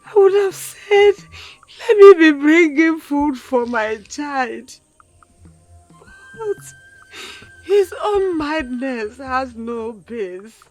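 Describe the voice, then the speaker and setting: A woman's voice: high-pitched, extremely slow, a little mellow, soft background music. An actress playing a mother lamenting her son's madness with tearful pleading. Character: grieving mother of a mad son.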